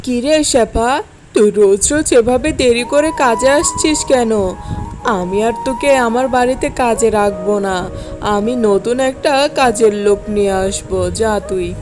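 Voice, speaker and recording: A woman acting as a cartoon madam speaks in a fairly high voice, harsh and uncaring. She scolds like a cold boss over clearly audible music. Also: moderate pace, close to an everyday voice. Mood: scolding. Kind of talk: cartoon story dialogue. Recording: music under the voice.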